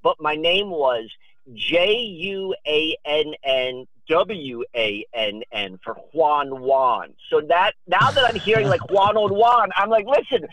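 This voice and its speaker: A man in his fifties talking casually as a podcast guest, telling rambling stories in an excitable, digressive, emphatic manner. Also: fairly high pitch, slow, no echo, low-quality recording. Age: 50s. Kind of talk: animated rambling storytelling and casual chat.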